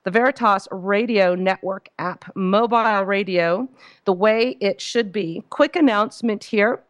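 Slightly hoarse woman radio host. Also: mid-range voice, a little slow, dry recording. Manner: chatty, announcement-reading with frequent uh fillers. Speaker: radio talk show host. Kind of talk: call-in radio talk. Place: radio studio. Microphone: studio microphone.

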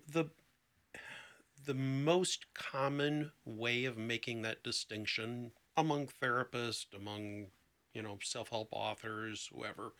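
A man with a bright, forward voice at an average pitch, talking as a therapist being interviewed. He explains ideas conversationally and illustrates them with examples. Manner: explanatory, illustrating with anecdotes and quoted dialogue.

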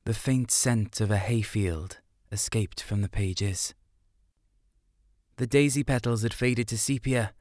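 A man's voice: fairly low pitch, darkish tone, dry recording, studio quality. A man reading a first-person story in a colloquial, melancholic way. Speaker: short story narrator.

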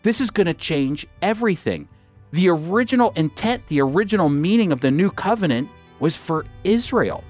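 A man teaching from scripture in an earnest, emphatic way, slowing down to stress key phrases. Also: sits high, expressive, darkish tone, soft background music. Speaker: Bible teacher.

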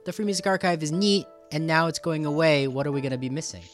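A man hosting a podcast in a casual, conversational way, halting as he thinks aloud. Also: on the high side, faint music behind. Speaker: podcast host. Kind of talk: casual interview talk.

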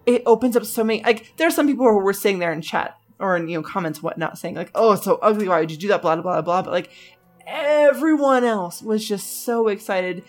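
A woman's voice: mid-range voice, a little fast, faint music behind. A woman co-hosting a casual gaming podcast, enthusiastic and full of "like" fillers. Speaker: podcast co-host.

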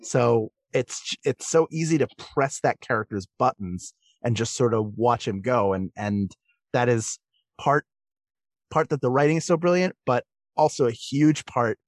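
A man's voice: mid-range voice, expressive, a little slow, dry recording. Man in close, dry podcast discussion. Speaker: podcast guest. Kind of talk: casual discussion, analytical.

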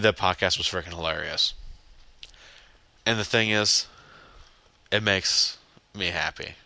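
A man's voice: fairly low pitch, sharp tone, smooth, dry recording. A young man hosting a casual solo podcast, blunt and swearing as he rambles, with a stuffy, congested nose. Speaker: podcast host.

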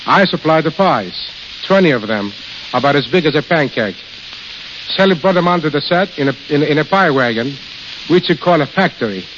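A man's voice: fairly high pitch, animated, normal speed, fairly bright tone. A plain-spoken man being interviewed as a guest on a 1930s radio show, telling anecdotes. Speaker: studio caterer, interview guest. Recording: hissy, crackly dull 1930s radio recording.

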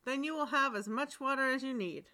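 A woman voicing a clever, bold youngest son in a storybook reading, speaking slowly and firmly. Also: high-pitched, bright, forceful tone, voice pushed up. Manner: bold, unhurried.

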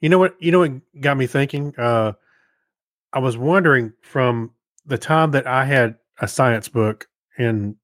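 A man co-hosting a podcast in casual chat, talking in an unhurried way. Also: mid-range voice, fairly bright tone, no room sound, clean studio sound. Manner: unhurried talker. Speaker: podcast co-host.

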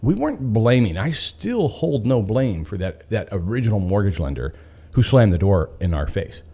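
Male motivational host: mellow tone, highly animated pitch, emphatic storyteller who leans on repetition. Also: normal speed, husky. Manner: emphatic, repeating phrases for effect. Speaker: podcast host and author.

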